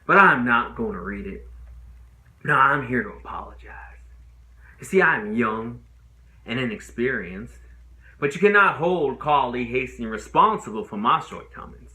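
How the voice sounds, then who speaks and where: A man acting a young trial lawyer delivering an earnest, pleading closing argument as a dramatic monologue, with deliberate, emphatic phrasing and pauses. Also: medium pitch, big pitch swings, sharp tone, full character voice. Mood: humble, apologetic. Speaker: amateur actor.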